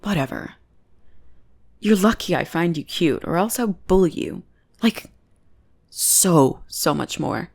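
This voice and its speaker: Woman acting a creaky, teasing goth bully girl. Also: fairly low pitch, animated, slow pace, long pauses, exaggerated voice. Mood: grudgingly affectionate. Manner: mocking, drawling, intimate teasing. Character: teasing goth bully girl, secretly smitten, bossy and exasperated. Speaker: voice actor in audio roleplay. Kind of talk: ASMR roleplay acting.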